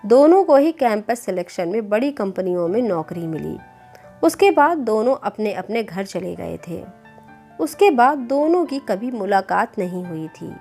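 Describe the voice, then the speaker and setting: A woman's voice: medium pitch, big pitch swings, a little slow, warm tone. A woman reading a scripted moral story as a storyteller. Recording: clear background music bed. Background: music.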